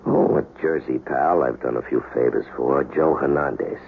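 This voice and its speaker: An actor playing a wary, shrewd small-time crook on the run, terse and guarded, in an old-time radio crime drama. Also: male voice, very deep voice, normal speed, gravelly. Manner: terse, guarded, tough-talking. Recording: muffled, hissy old radio recording.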